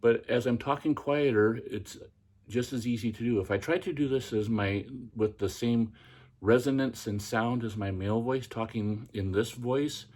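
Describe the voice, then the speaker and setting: Man speaking in a soft, raised feminine voice. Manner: speaks in a practiced feminine voice, softened and quiet; pitch raised by tensing the throat. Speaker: podcast host. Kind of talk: casual instructional monologue.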